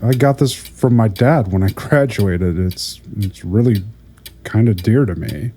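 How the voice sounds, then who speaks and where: A man playing a patient older therapist in an audio drama, hesitant and stammering as he gently probes. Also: lowish voice, unhurried, breathy edge. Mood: wistful. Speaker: prison therapist. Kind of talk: audio drama acting, conversational.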